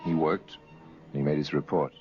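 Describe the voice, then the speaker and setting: A man narrating a dramatic old radio crime series in a grave, deliberate storyteller's manner. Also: a bass voice, steady tone. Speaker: narrator and host. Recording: dull vintage radio recording, loud music behind.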